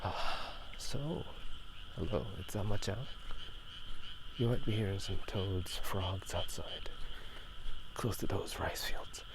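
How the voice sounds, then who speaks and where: A man speaking in soft whispers for a casual ASMR gaming chat outdoors at night, unscripted and rambling, often correcting himself. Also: sits low, slow, noisy background. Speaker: video host. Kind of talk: whispered ASMR chat about video games. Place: outdoors at night near rice fields.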